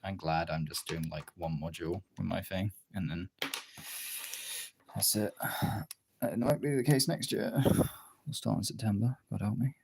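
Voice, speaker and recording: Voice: low-pitched, normal speed, vocal fry, pauses often, background noise. A livestreaming voice actor chatting casually and in a rambling way with viewers.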